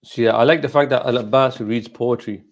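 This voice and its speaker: A middle-aged man whose mother tongue is Gujarati, talking informally as a scholar answering questions, in a halting, reflective way that repeats phrases. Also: medium pitch, fairly even intonation. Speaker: Islamic scholar hosting a question and answer session.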